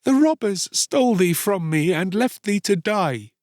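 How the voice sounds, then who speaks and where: A man's voice: high-pitched, expressive, unhurried, darkish tone, dry recording, clean studio sound, pitched above the performer's own voice. A man voicing a pleading, sorrowful beggar woman in a storybook, with archaic, imploring speech.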